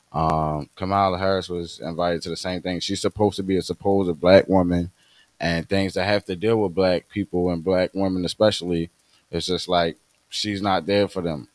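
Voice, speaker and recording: A man co-hosting a podcast, talking casually in a halting way with many fillers and restarts. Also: deep voice, no echo. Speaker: podcast co-host.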